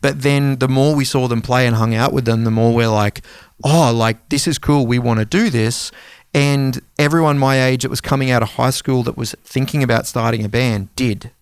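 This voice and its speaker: Clear-voiced man chatting casually, close and dry. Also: mid-range voice, steady tone, moderate pace, no room sound. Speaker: podcast co-host. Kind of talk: casual conversational podcast talk.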